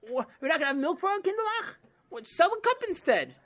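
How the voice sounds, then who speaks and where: A woman voicing a poor, desperate wife who pleads with her husband in a shrill, exclaiming voice. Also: big pitch swings, fast talker, a bit bright, heavily acted. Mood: alarmed.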